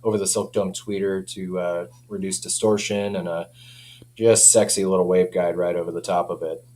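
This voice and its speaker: A man with a fairly low voice talks in a chatty, informal way, like an enthusiastic company rep. His delivery is casual and keen. There is noticeable noise and hum behind him. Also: level tone, moderate pace, a little mellow. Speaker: audio company representative, guest. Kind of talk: casual product chat.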